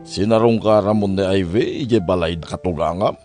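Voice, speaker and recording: A man's voice: low voice, moderate pace, music in the background, lightly acted. A man playing a concerned, fatherly older man with an Ilocano accent in a radio-style family drama.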